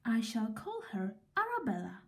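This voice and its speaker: A woman's voice: a little high, huge pitch swings, normal speed. A woman voicing an eager, wondering little girl in a children's storybook reading. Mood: delighted. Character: excited, delighted little girl.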